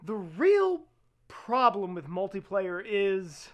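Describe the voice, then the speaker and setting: Animated man reviewing a game. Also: high voice, slow. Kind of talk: casual review commentary.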